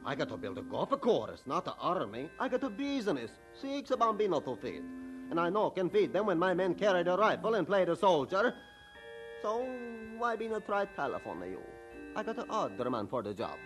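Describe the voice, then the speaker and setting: Blunt italian construction boss, broken english, big swings in pitch, old radio drama with music behind. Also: male voice, sing-song, fairly quick, a bit bright, poor audio. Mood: exasperated.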